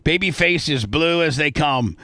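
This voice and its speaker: Male radio host, casual banter. Higher-pitched, bright, forceful delivery in a dry room. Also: expressive, moderate pace, dry recording.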